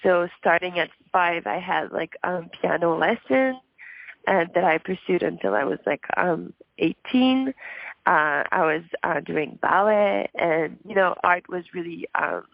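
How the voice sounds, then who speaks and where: A woman with a hoarse, gravelly voice talks about her music, shy and full of fillers and soft giggles. The sound is dry and slightly dull, as from a lo-fi recording. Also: on the low side, measured pace, no room sound. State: hoarse from a throat issue. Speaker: musician guest. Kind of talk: casual interview answers.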